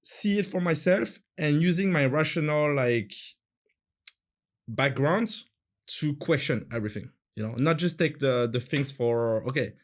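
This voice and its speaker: A man's voice: a little high, lively intonation, measured pace. A man in his thirties from France with a French accent, chatting casually as an interview guest, searching for words with many fillers and restarts.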